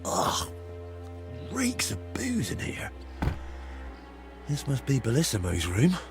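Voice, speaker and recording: An actor playing a practical, sceptical young male adventurer in a video game, down-to-earth, with a husky voice. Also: medium pitch, huge pitch swings, slow, music in the background, heavily acted. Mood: muttering, pondering. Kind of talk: video game character dialogue.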